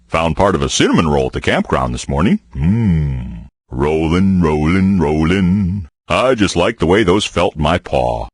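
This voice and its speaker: Deep husky grizzly bear for a board game, folksy and lazy, voice swoops all over the place, dry close sound. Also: male voice, a bit bright, no room sound, heavily acted. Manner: folksy, drawling, jokey asides. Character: folksy good-natured grizzly bear, male, big and lazy. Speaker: game character voice. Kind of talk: game voice lines.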